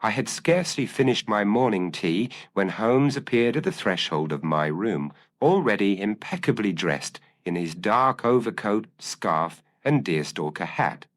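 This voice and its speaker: A man narrating a first-person detective audiobook in a measured, formal, literary manner. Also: on the low side, big pitch swings.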